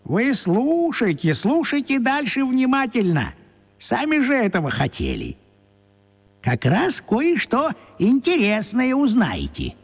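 An actor playing an insistent character who butts in with hints in a children's radio play, his voice husky and heavily reverberant. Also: very high-pitched, wildly swooping pitch, takes it slowly, full character voice. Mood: coaxing, insistent. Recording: long cavernous reverb.

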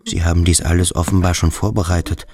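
A man giving scripted radio play narration in terse fragments with pauses between them. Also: low-pitched, steady tone, darkish tone, slight background noise. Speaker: second narrator.

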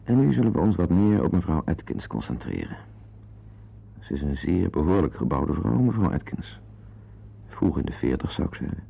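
Wry, weary playwright-narrator. Deep, creaky, intimate and digressive, on a dull radio recording. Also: male voice, sing-song, normal speed, exaggerated voice. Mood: dry, confiding. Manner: confiding, dry, digressive. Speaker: narrator-protagonist of a radio play. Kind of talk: intimate first-person radio play narration.

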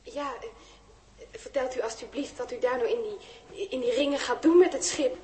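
Actress as a high-voiced, hesitant young questioner. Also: female voice, a little mellow, a little hiss or hum, lightly acted. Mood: shy, curious. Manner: halting, polite. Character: polite, hesitant young female forum questioner. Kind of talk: 1960s radio play acting.

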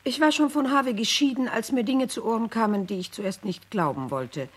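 An actress playing a divorced ex-wife giving measured testimony from memory in a radio play. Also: female voice, a little high, husky. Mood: troubled.

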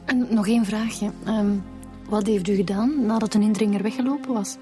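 An actress playing a sensible, teasing police detective in a radio drama, speaking informally with a Flemish accent. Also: female voice, mid-range voice, fairly even intonation, normal speed, mellow, soft background music, natural voice. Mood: questioning. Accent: Flemish Belgian. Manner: informal, reasoning, pushes back.

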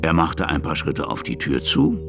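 A sentimental older army officer telling his story in a radio play. His voice is very low and gravelly, moving freely up and down as he recounts old sorrows, with music behind him. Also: moderate pace. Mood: wistful, moved. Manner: animated, conversational narrating.

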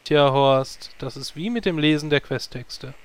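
A man's voice: on the high side, measured pace, clear, resonant tone, natural voice. An actor playing a weary, sarcastic male guildmate. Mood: smug.

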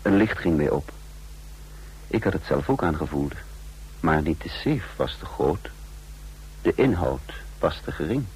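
A composed secret agent with a deep voice. He speaks formally and deliberately, as narrator and character in a radio drama. The old recording carries faint noise. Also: expressive, a little mellow, low-quality recording. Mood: reflective. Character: composed male intelligence agent recounting his secret mission.

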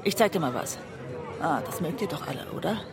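A woman's voice: deep voice, expressive, fairly quick, crowd noise. An actress playing a weary, intense woman lover in a radio drama, speaking intimately and often close to a whisper. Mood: brazen, goading. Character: weary, intense woman lover, narrating and confronting her partner. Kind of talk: radio drama acting and literary narration.

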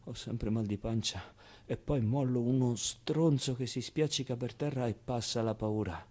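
A streetwise orphan boy, played by a man tells his story as a theatrical monologue in a mid-pitched voice. He is naive, wistful and crude, speaking expressively with halting repetitions and pauses, moving between hushed and excited delivery. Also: natural voice. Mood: anguished, straining.

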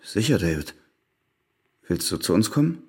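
A male actor playing a detached, embittered ex-convict in a crime radio drama, speaking in a deliberate, brooding way. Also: low voice, big pitch swings, breathy edge, heavily acted. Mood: guarded, cool.

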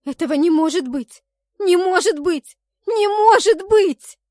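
A woman's voice: extremely high pitch, expressive, a little slow, mellow, dry recording, studio quality, pitched above the performer's own voice. A woman voicing a passionate, distraught young woman in a fantasy audiobook, emotional and pleading. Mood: disbelieving anguish. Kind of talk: dramatic fantasy audiobook acting.

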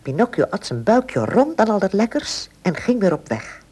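Very animated female storyteller. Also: medium pitch, normal speed, husky. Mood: storytelling. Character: storyteller of a children's fairy tale. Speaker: narrator. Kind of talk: children's storybook narration. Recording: faint cassette tape noise.

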